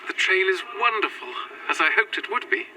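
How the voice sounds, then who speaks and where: A woman's voice: mid-range voice, lively intonation, a little fast, bright, forceful tone, a little hiss or hum, poor audio. An actress playing a gracious, devoted Victorian lady in a gothic audio drama, with formal, earnest, old-fashioned speech. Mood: admiring. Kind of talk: found-footage gothic audio drama acting.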